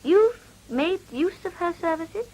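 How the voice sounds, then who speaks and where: An actress playing a proud, determined young upper-class wife in a period radio drama, speaking formally and insistently. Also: female voice, extremely high pitch, lively intonation, measured pace, darkish tone. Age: young adult.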